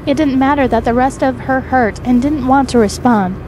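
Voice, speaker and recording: A woman's voice: sits high, normal speed, background noise. A woman reading scripted narration for a dramatic fan fiction audio story. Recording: music behind the voice. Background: music.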